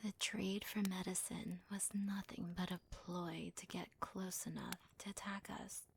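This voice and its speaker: A woman playing a devoted, deferential servant in an ASMR roleplay, with a soft, breathy, half-whispered voice. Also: fairly low pitch, level tone, a little slow, heavily acted. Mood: insistent, disdainful. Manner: gentle, deferential, hesitant. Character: devoted, doting young female servant to her master.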